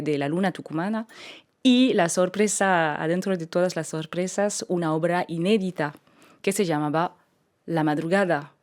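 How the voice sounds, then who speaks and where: A French singer speaking Spanish with a French accent in a radio interview, often pausing and restarting as she searches for words. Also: on the low side, a little mellow. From France.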